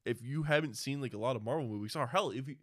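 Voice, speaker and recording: A man's voice: medium pitch, fast talker, a bit bright, dry recording, studio quality. A man co-hosting a casual, opinionated movie discussion in an informal, sweary manner. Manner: informal, profane. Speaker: podcast co-host.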